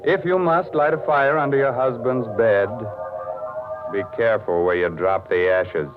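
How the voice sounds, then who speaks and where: An actor playing a ghoulish, punning horror host on a 1940s radio show, theatrical and mock-sinister. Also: male voice, medium pitch, measured pace, close to an everyday voice. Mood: gleefully macabre. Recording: tinny 1940s radio, organ music behind.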